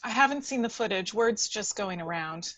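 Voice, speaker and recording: A woman's voice: medium pitch, lively intonation, moderate pace, a bit bright. A woman playing a nervous, worried female lab scientist in an amateur table read.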